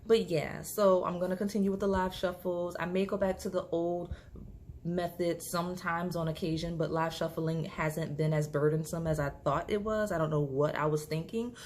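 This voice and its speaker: A woman, slightly hoarse, chatting casually through a tarot reading to camera, with lots of fillers and restarts. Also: lowish voice, fairly even intonation, moderate pace, darkish tone, slight background noise. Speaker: tarot reader.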